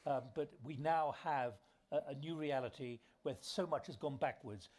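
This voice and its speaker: A man moderating a panel discussion, steering it with brisk, probing questions. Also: fairly high pitch, darkish tone.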